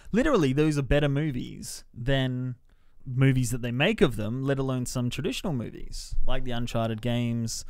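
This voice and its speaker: A man reviews films in a relaxed, conversational podcast style. His voice is clear and smooth, sitting at a medium pitch. The sound is close and dry, with hardly any room echo. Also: normal speed, few pauses. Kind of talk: casual review chat.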